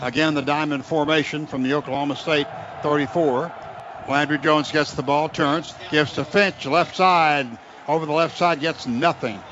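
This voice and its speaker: High-pitched American football play-by-play man. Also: moderate pace. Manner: clipped running description, rising into excited calls on big plays. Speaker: radio play-by-play announcer for the Oklahoma team. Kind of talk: live American football radio commentary. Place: outdoor football stadium broadcast. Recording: dull, noisy stadium radio broadcast.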